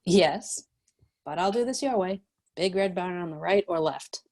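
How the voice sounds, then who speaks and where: A woman's voice: on the low side, measured pace, a little mellow, no echo. An actress at a table read playing a capable, self-assured female bounty cop with a wry, clipped manner. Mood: dutiful. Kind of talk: screenplay table read acting.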